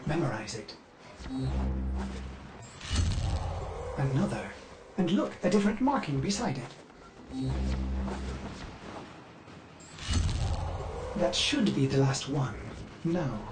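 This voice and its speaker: A man's voice: fairly high pitch, very animated, moderate pace, background noise. An actor playing a fussy, anxious male ship computer and eager servant in a video game, with a hesitant, theatrical delivery. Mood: alert, curious. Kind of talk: video game character dialogue.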